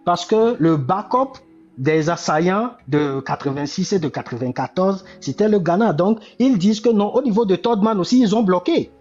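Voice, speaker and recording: Guy in his 40s ranting about politics, slightly high voice, repeats himself, dull lo-fi sound w faint music. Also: lively intonation, moderate pace, darkish tone. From Togo. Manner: emphatic, repeats key phrases, frequent restarts. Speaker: commentator on a live discussion. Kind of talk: impassioned political talk.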